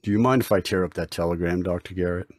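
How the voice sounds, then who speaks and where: A mysterious, gray-haired doctor, acted by a man in an old-time radio drama. His lowish voice is measured, calm and solemn, with a dry, close sound. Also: no echo.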